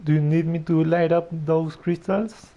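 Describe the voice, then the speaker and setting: A woman's voice: low-pitched, fairly even intonation, moderate pace, highs cut off, background noise. A woman streaming a video game, giving casual, informal reactions and muttered asides, often repeating her words. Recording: game music behind the voice.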